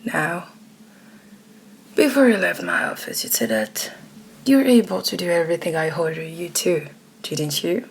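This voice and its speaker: A woman voicing a domineering, smug male boss in a hushed, breathy, commanding manner for an ASMR roleplay. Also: on the low side, lively intonation, normal speed, pauses often, slight background noise.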